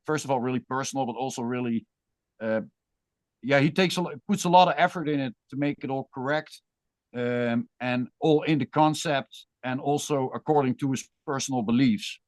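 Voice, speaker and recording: Dutch-accented male guest, casual and reflective, mid pitch, dry recording. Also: moderate pace, no echo. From Netherlands. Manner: thoughtful, rambling with restarts. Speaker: guest musician. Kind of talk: casual interview chat.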